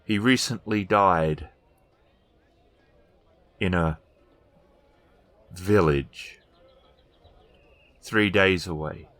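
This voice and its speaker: A man playing a formal, terse widowed noblewoman in a tabletop game, speaking in measured, clipped phrases. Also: low-pitched, a bit bright, no echo, full character voice. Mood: cool, formal. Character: formal, terse widowed noblewoman requesting her husband's swords.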